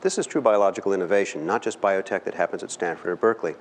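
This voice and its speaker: A middle-aged man from California giving an earnest, emphatic lecture with pauses and fillers, recorded by a far camera in a large lecture hall. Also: deep voice, a little hiss or hum. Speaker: guest speaker. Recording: long hall reverb.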